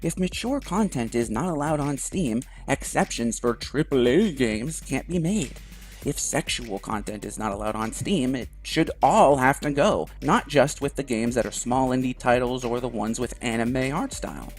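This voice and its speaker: A man's voice: mid-range voice, animated, moderate pace. A man delivering scripted opinion commentary straight to the viewer in an earnest, emphatic way. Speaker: video commentator. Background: background music.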